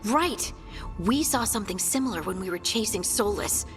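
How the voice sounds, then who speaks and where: A woman's voice: medium pitch, lively intonation, normal speed, soft background music, natural voice. A woman playing a sympathetic, tentative companion in a fantasy video game, speaking with wonder.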